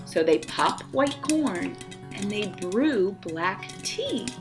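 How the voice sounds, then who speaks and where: A woman reading a children's picture book aloud in an expressive, theatrical way, acting out the sound words. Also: medium pitch, takes it slowly. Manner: dramatic, acts out sound words. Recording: music behind the voice. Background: background music.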